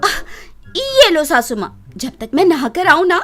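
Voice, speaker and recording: Lady doing a greedy bossy young bahu, high swooping storybook voice, gloating orders, music under it. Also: very animated, unhurried, pushed and bright, exaggerated voice. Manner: imperious, gloating, commanding. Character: greedy scheming bossy young daughter-in-law. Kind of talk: children's moral storybook voice acting.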